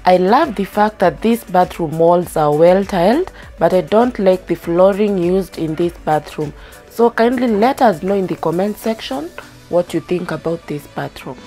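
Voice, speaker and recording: A woman's voice: on the low side, animated, moderate pace. A woman from Kenya narrating a house tour voice-over, chatting to viewers about each room. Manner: friendly, chatty commentary addressing viewers. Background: background music.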